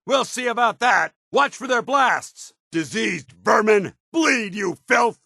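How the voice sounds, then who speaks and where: An actor playing a stern, loyal, idealistic soldier companion in a video game, with a clipped, earnest, authoritative military delivery. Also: male voice, high voice, animated, slow pace, creaky, pushed and bright, no room sound, clean studio sound, full character voice. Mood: fierce battle shouting. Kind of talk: video game character dialogue.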